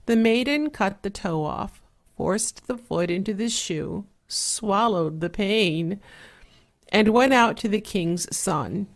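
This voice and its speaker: A soft-spoken woman narrating a fairy tale in a measured, relaxing way, with an 8D surround panning effect. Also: mid-range voice. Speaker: storyteller.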